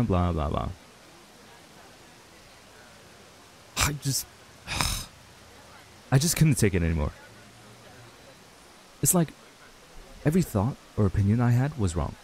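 A man acting a stressed, earnest young runaway in an intimate audio roleplay, speaking haltingly with sighs. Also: medium pitch, animated, a little mellow, close to an everyday voice, rough recording. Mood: frustrated, venting. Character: stressed young man, frustrated runaway reuniting with childhood friend.

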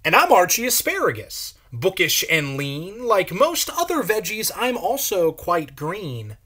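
Man as Archie, high-voiced bookish asparagus. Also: wildly swooping pitch, a little slow, pushed and bright, breathy edge, heavily acted. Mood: prim. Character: Archie Asparagus, bookish thin male vegetable.

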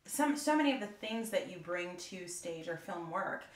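A woman's voice: fairly low pitch, animated, moderate pace. A woman who works as a voice actor, chatting casually and expressively in a roundtable discussion. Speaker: voice actor on a panel. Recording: roomy, echoey room.

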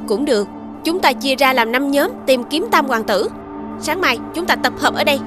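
A woman voicing a proud, shrewd young princess in an animated fairy tale, speaking in a formal, courtly and assertive way. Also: high voice, moderate pace, over music. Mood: cool, shrewd.

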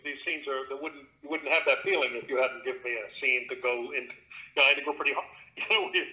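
Man, middle-aged, actor and narrator, bright tone, theatrical storyteller, home studio. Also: mid-range voice, fast talker, rough recording. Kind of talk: casual interview talk.

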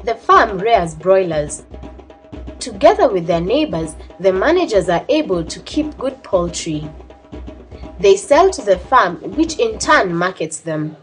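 Female documentary narrator, scripted read, animated intonation, mid-pitched, faint music bed. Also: measured pace.

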